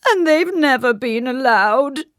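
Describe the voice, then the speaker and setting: A woman voicing a miserable storybook king who sobs and wails his words in a drawn-out way. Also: a little high, big pitch swings, no echo, clean studio sound, pitched above the performer's own voice, heavily acted. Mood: wailing, miserable. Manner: sobbing, drawn-out wailing. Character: tearful, wailing king of a miserable land.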